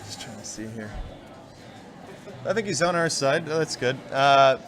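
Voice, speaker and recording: A man's voice: mid-range voice, lively intonation, measured pace, a bit bright. Rambling man chatting about miniatures. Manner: rambling, lots of fillers. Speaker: podcast co-host. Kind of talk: casual gaming chat.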